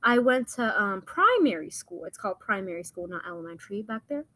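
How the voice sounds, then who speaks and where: A woman's voice: mid-range voice, expressive, a little fast, sharp tone. A young woman whose first language is Hindi, from India and the United States, telling personal stories in a casual, rambling, informal way as a podcast guest.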